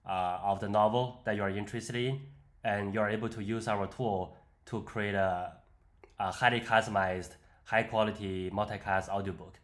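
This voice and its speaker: Guy doing a screen demo tutorial, lowish voice, kinda hesitant explaining. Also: fairly even intonation, moderate pace, fairly bright tone. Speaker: software tutorial presenter. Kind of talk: unscripted screen-demo walkthrough.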